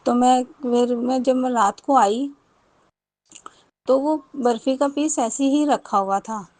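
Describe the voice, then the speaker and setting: A woman's voice: high-pitched, slow, darkish tone, no echo. A woman, telling a personal devotional testimony in a rambling way, with many restarts and repeated thanks.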